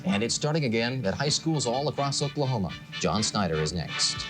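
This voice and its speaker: A man's voice: mid-range voice, big pitch swings, fairly quick, loud music behind the voice. A man anchoring a local TV newscast in a broadcast delivery. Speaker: TV news anchor.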